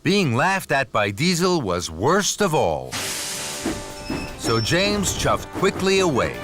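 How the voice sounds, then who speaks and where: Lively male children's story narrator over music. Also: fairly high pitch, takes it slowly. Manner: expressive storytelling.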